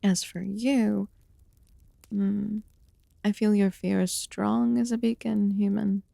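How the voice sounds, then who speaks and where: A woman voicing an aloof, curious fae witch healer in a fantasy ASMR roleplay, speaking formally and softly, often dropping into a whisper. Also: medium pitch, flat delivery, vocal fry, no room sound, studio quality. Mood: grave, measured. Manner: formal, archaic, deliberate phrasing.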